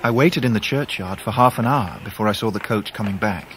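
An actor playing a grown English gentleman narrating his own youth in a measured, literary radio drama style. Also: fairly low pitch, background noise, lightly acted. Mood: reflective. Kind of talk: scripted first-person drama narration.

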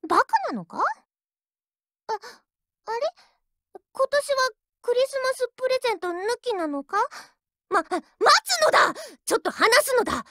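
Haughty little anime ship girl, mobile game. Piping high voice, lively inflection, bratty bragging, dry studio sound. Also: moderate pace, pauses often, no room sound, exaggerated voice. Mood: upset, pleading. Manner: boastful, tsundere, ends sentences with a verbal tic. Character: haughty, childish warship girl with animal ears. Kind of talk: Japanese anime game character voice lines.

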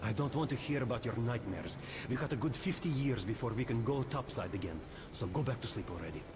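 An actor voicing a blunt, world-weary, grumbling underground dweller in a video game. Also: male voice, mid-range voice, expressive, fast talker, noisy background, lightly acted. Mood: grumpy. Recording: loud music behind the voice.

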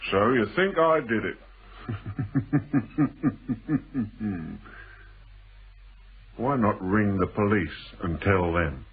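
Shrewd male stranger, British accent, very husky, animated, teasing, probing, radio thriller, narrow muffled old broadcast. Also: lowish voice, slow, full character voice. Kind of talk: British radio drama acting.

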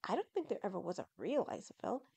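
A woman's voice: mid-range voice, very animated, fast talker, a little mellow, breathy edge, exaggerated voice. A woman voicing a plain-spoken, thoughtful male outsider in an audio story, reasoning in a matter-of-fact way. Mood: skeptical.

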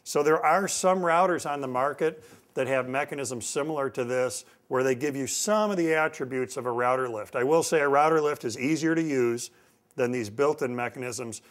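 A man's voice: medium pitch, moderate pace. A middle-aged man with an American accent giving a step-by-step instructional demonstration, stressing key points with repetition. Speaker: woodworking instructor. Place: indoor woodworking shop. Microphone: lapel mic.

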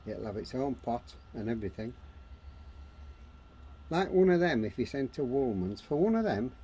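A man's voice: medium pitch, big pitch swings, normal speed, warm tone, husky. A man, chatting plainly and bluntly in short phrases as he explains gardening on an allotment. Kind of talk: casual explanatory chat. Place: open-sided greenhouse on an allotment. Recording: noisy handheld outdoor camera audio.

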